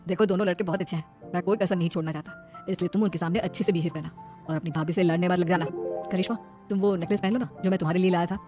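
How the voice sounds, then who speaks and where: Stern elder brother done by a woman, brisk, admonishing, cartoon storytelling, faint music. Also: on the low side, fairly even intonation, pitched below the performer's own voice, close to an everyday voice. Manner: firm, admonishing. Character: protective then stern, easily angered elder brother. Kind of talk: animated moral-story cartoon voicing.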